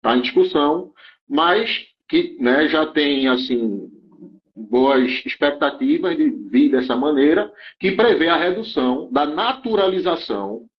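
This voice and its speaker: A man's voice: a little high, lively intonation, a little slow, lo-fi sound. A man explaining immigration rules in an interview, often checking in with his listener and restarting phrases.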